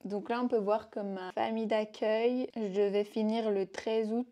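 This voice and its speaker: A woman, vlogging in a chatty, self-mocking way with quick asides. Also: medium pitch, fairly even intonation, mellow. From Belgium. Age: 20s. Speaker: vlogger and au pair. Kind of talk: casual vlog chat.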